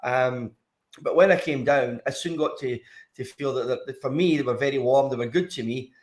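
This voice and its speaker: An older man with a broad Glaswegian accent answers questions over a video call. He speaks at an ordinary pitch, stopping and restarting often, with plenty of ums along the way. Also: normal speed. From Scotland. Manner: hesitant, many fillers and restarts. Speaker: guest, former footballer. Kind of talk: casual interview.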